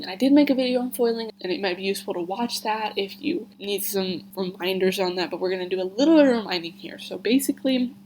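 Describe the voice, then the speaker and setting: A woman's voice: mid-range voice, darkish tone, slight background noise, rough recording. A congested young woman teaching a casual math lesson, chatty and full of "like" and "right?". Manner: chatty, filler-heavy explaining with frequent "like" and "right?". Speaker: math tutor.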